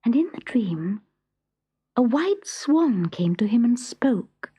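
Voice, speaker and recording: A woman narrating a children's story from a script in a measured, expressive storytelling manner. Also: on the high side, breathy edge.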